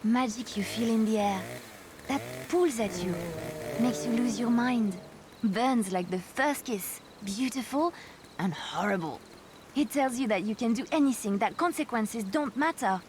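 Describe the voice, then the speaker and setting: Woman acting a young French woman, game character, French accent, high voice, dreamy and dramatic, noisy background. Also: unhurried, lightly acted. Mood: dreamy, intense. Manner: musing, dramatic, slipping into French. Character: romantic, reckless young French woman, tough yet dreamy. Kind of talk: video game character dialogue.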